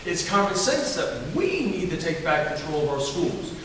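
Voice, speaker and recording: A Southern-accented man with a high voice speaks emphatically and repeats himself. He is recorded from far off in a large hall with heavy echo and long reverb. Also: very animated, normal speed, fairly bright tone, slight background noise. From Alabama. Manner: emphatic, folksy, repetitive. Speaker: candidate for governor. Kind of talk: campaign forum answer.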